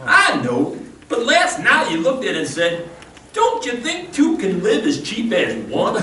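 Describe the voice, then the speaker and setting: A man's voice: extremely high pitch, huge pitch swings, measured pace, pushed and bright, heavily acted. A man acting a cheeky valet who teases his boss in an old-time radio comedy on stage. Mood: sly teasing. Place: live stage performance of a radio show. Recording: roomy stage recording, distant mic, faint noise.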